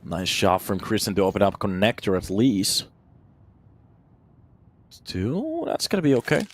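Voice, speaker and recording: A man in his thirties, raised in Finland, giving lively solo play-by-play on a shooter match and chatting with viewers between rounds. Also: fairly low pitch, unhurried. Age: 30s. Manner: energetic, chatty, answers viewer questions between plays. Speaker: esports caster. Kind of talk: solo play-by-play commentary with chat replies.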